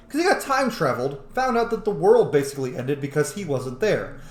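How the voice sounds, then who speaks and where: A man's voice: high voice, roomy sound. A man giving casual, sweary let's play commentary as a game streamer, joking and emphatic. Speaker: streamer playing a role-playing game.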